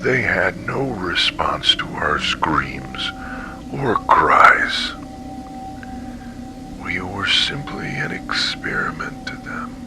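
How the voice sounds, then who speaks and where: An actor playing a traumatized, weakened male survivor giving halting, breathy testimony in an analog horror story. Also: low voice, sing-song, over music, full character voice, low-quality recording. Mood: haunted, grim. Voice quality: breathy, whispery. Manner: slow, halting, haunted. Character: traumatized male survivor of a monstrous captivity, haunted and weak.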